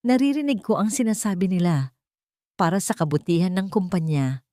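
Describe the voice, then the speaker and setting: A wise old mother , acted out by a woman narrator, speaks in a low, soft voice with calm understanding and quiet firmness. She sounds unhurried and gentle, as in an audio storybook drama, recorded dry. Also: dry recording, close to an everyday voice. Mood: gentle, firm. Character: gentle, wise, steadfast elderly mother counselling her son.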